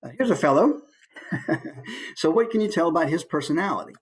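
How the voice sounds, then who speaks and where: A man's voice: a little high, brisk. A man giving a casual, playful instructional talk as a voice actor. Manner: animated, playful.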